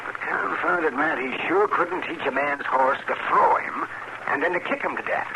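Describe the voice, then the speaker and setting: An actor playing a crotchety older frontier town doctor with an American accent, fussy, blunt and folksy in an old radio western. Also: male voice, on the high side, normal speed, natural voice. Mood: blunt, skeptical. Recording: noisy old radio drama recording.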